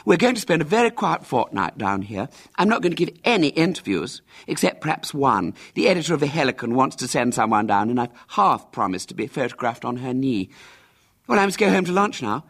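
Male reader playing a smug, boastful child celebrity; haughty, lively delivery. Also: mid-range voice, brisk, pitched above the performer's own voice. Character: conceited famous young boy, pompous and self-important.

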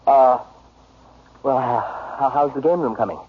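A man's voice: mid-range voice, normal speed, close to an everyday voice. An actor playing a timid, desperate husband who turns menacing, stammering and repeating his words. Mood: pleading. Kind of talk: screen drama acting. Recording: muffled lo-fi audio with loud noise.

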